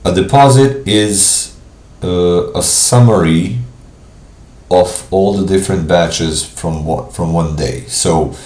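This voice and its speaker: Stuffy-nosed man with a deep voice. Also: darkish tone, roomy sound. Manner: casual, thinking aloud with pauses. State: congested from a cold. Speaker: software trainer. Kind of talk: live screen-share walkthrough.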